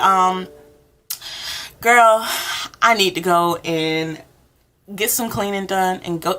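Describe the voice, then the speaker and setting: A woman's voice: mid-range voice, sharp tone. A woman speaking informally and encouragingly, one-to-one, as she gives a role-play tarot reading. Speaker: tarot reader, channel host.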